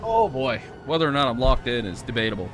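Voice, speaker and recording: A hoarse young man commenting as he plays a hard game live, thinking aloud, swearing and grumbling at his mistakes. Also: mid-range voice, big pitch swings, quick pace, over music. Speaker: gaming streamer.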